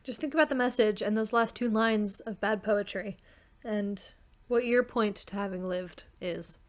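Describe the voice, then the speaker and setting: A woman speaking as a podcast co-host in a relaxed, informal conversation. Her voice sits at an average pitch for a woman, and she talks in an everyday conversational style. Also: moderate pace. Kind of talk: casual chat about a radio play.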